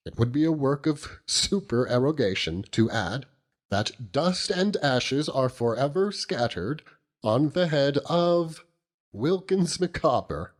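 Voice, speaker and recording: A man voicing a pompous, theatrically gloomy middle-aged gentleman in debt, in florid phrases, for an audiobook. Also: mid-range voice, lively intonation, slow pace, pitched above the performer's own voice. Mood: grandly gloomy. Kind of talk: audiobook character reading.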